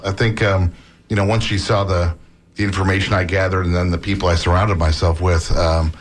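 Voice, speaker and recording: A man talking casually as a guest author on an interview show, relaxed and chatty, with many fillers and restarts. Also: low voice, fairly quick. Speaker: book author, academic.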